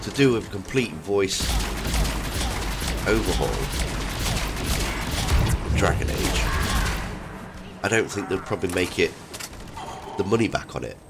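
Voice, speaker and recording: A man with a very low voice hosts a casual game stream. He talks haltingly, often leaving a thought hanging while he plays. Gunfire from the game is clearly audible behind him. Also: animated, very noisy. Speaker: host of a gaming livestream. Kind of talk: casual chat over gameplay. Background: video game gunfire and combat sounds.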